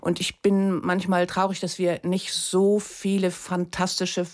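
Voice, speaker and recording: A woman's voice: lowish voice, flat delivery, measured pace. A woman, answering radio interview questions as a guest in a considered, articulate way. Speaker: literary translator, interview guest.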